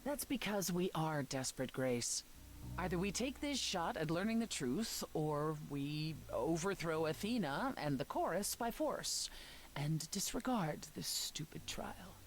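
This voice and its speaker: A woman playing a grave, protective ally in a video game, speaking in a measured, intense way. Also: low-pitched, big pitch swings, a little hiss or hum, exaggerated voice, low-quality recording. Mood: stern, desperate.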